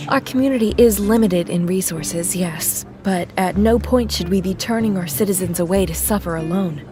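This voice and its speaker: A woman's voice: mid-range voice, normal speed, over music, lightly acted. A woman playing a polite, controlled town official in an audio drama. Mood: apologetic, firm. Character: composed, formal female town official, diplomatic.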